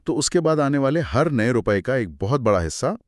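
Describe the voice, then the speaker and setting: A man's voice: medium pitch, lively intonation, brisk, no echo, studio quality. A man co-hosting a conversational explainer, speaking in an emphatic, explanatory way with English terms mixed in. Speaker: co-host explaining business results.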